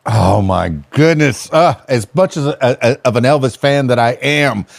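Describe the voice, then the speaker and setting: A man's voice: medium pitch, normal speed, vocal fry, no echo, clean studio sound. A man hosting a podcast, chatting casually and rambling with lots of fillers and restarts. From Miami. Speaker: podcast host. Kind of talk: casual interview chat.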